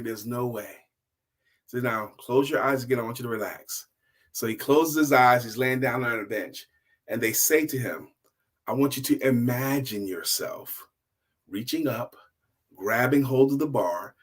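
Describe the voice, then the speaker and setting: An older man hosting a story show, telling informal motivational bedtime stories in a deliberate manner, repeating phrases and checking in with the listener. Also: mid-range voice.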